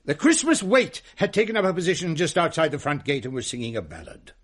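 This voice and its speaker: A man's voice: a little high, wildly swooping pitch, fast. A man playing a pompous, testy middle-aged Victorian English uncle in a radio drama, wordy and easily exasperated. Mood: solemn, self-important. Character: pompous, testy Victorian uncle hosting Christmas ghost stories. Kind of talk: period radio drama acting.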